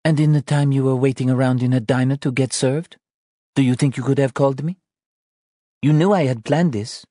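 A man's voice: medium pitch, fairly even intonation, brisk, warm tone, no echo, studio quality, pitched above the performer's own voice, close to an everyday voice. Tearful anxious wife, voiced by a man. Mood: hurt. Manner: pleading, emotional delivery.

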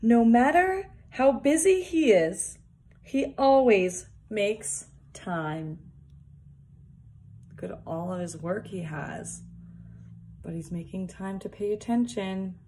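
A young woman reading a picture book aloud for small children, deliberately, with casual asides. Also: medium pitch, lively intonation, dark tone. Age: young adult. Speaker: early years worker reading a picture book. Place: small plain indoor room, seated at a desk. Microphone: phone or device propped at arm's length.

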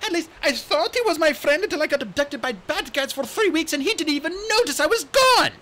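A man's voice: very high voice, expressive, a little fast, sharp tone, voice pushed up, exaggerated voice. A man voicing a bubbly, bold and chatty young female dragon in a fantasy storybook.

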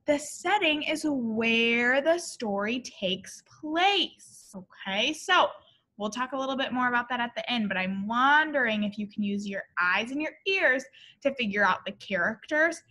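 A woman in her thirties, an expressive teacher reading a picture book aloud to young children and explaining deliberately. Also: a little high. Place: school classroom, indoors.

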